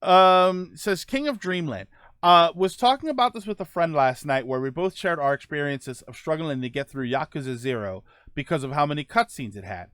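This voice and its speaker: A man's voice: a little high, moderate pace, fairly bright tone, smooth, no echo, studio quality. A man hosting a casual live stream, thinking aloud while reading viewer comments, often restarting his sentences. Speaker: streamer host reading chat.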